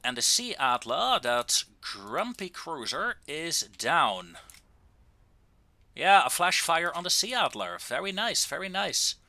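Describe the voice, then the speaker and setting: A man giving casual, wry and understated commentary on a video game, with drawn-out pauses, into a close microphone. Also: on the high side, very animated, pushed and bright, dry recording.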